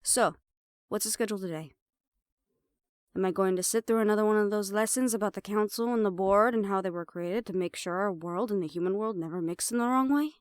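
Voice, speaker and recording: A woman's voice: mid-range voice, fairly quick, no room sound, studio quality, close to an everyday voice. A woman playing a sly, defiant half-banshee siren in an audio roleplay, sarcastic and playful as she mocks the listener. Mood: bored, sarcastic.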